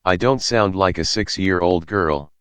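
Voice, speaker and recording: A man's voice reading chat messages flatly. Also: low-pitched, measured pace, smooth, no room sound. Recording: loud music underneath.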